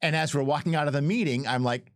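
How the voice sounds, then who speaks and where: A man chatting casually as a game developer and co-host, telling anecdotes in an animated way with acted-out quotes. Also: a little high, fast, dry recording, studio quality.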